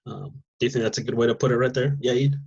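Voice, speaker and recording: A man's voice: medium pitch, fairly even intonation, fast, gravelly, a little mellow, husky, muffled recording. A man raised in Mexico and Nebraska, hosting a casual podcast conversation in a loose, rambling way with lots of fillers and restarts. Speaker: podcast host.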